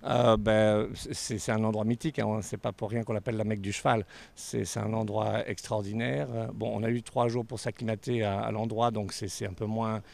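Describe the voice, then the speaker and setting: A man in his forties with a gravelly edge to his voice talks haltingly, often repeating words while he searches for them. He is a dressage rider giving a post-competition interview outdoors at a stadium, speaking into a handheld reporter's mic. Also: fairly low pitch, little pitch movement, a little mellow.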